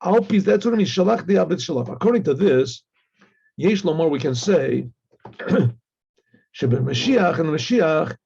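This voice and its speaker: A man with a husky, mellow voice teaches a religious lesson in a conversational way, on slightly dull audio. He reads Hebrew lines, translates them, and repeats himself for emphasis as a rabbi would in class. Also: on the high side, animated, unhurried. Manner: conversational, acts out dialogue, repeats phrases, frequent throat clearing.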